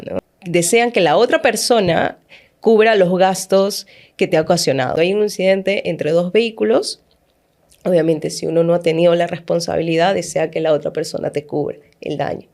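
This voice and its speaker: A woman's voice: lowish voice, normal speed, darkish tone. A woman hosting a podcast interview, talking conversationally and ending points with tag questions. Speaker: podcast host.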